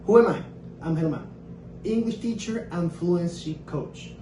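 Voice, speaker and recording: A man whose first language is Spanish, an English teacher and fluency coach, giving a casual lesson with energetic, emphatic repetition. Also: fairly high pitch, measured pace, echoey room, recorded from a distance, slight background noise. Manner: energetic, emphatic repetition, talks in short bursts.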